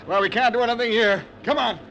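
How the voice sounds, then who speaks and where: An actor playing a determined ranger in an old radio drama, speaking urgently and giving orders. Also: male voice, very high voice, fast talker, bright, forceful tone, dull audio, a little hiss or hum, heavily acted. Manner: urgent, commanding. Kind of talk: 1940s radio acting.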